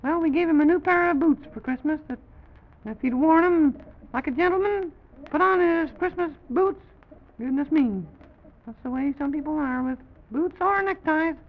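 A man voicing a fussy, flustered little toy-land president for a children's story show, rambling in folksy exclamations. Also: moderate pace. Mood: exasperated. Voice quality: high, creaky. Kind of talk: old-time children's story show character acting. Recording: dull, lo-fi vintage broadcast recording.

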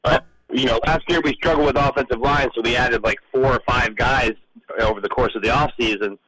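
A man in a casual sports radio discussion, talking haltingly and often repeating words as he works toward his point. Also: medium pitch, a loud crowd, rough recording. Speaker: sports radio show panelist.

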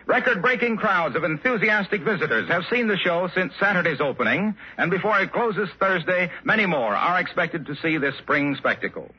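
A man's voice: sits high, lively intonation, moderate pace, hardly any pauses, husky. A man with an American accent reading scripted announcements and sponsor copy in 1950s radio announcing style. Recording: muffled 1950s radio broadcast, some music.

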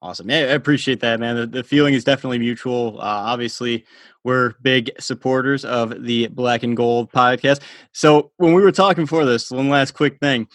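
A man's voice: mid-range voice, fairly even intonation, fairly quick, fairly bright tone, no room sound, studio quality. A man hosting a sports podcast interview, chatty and casual with lots of fillers and laughter. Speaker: podcast host.

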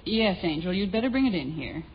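A woman's voice: lowish voice, very animated, brisk, dull audio, slight background noise. A woman playing a sensible, stubborn mother in a 1950s radio sitcom, firm and indignant but affectionate, on an old broadcast recording. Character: sensible, stubborn suburban mother and housewife, defensive yet affectionate.

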